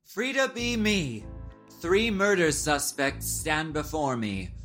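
Man playing a pompous high-voiced drag queen host. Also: animated, slow. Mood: pompous, dramatic. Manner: melodramatic, grandly announcing. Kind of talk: campy comic radio play acting. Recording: music under the voice.

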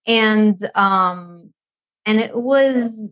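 Interview guest: woman, 30s, from Lebanon, mid-pitched voice, dry close sound, talks freely with laughs and fillers. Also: extremely slow, fairly bright tone, no echo. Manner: chatty, laughs often, many fillers and restarts. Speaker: nutrition professor, guest. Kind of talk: casual interview storytelling.

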